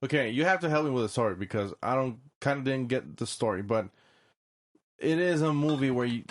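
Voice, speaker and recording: A man's voice: medium pitch, animated, fairly quick. A man co-hosting a casual podcast, loose and joking, laughing often. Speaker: podcast co-host. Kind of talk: casual chat.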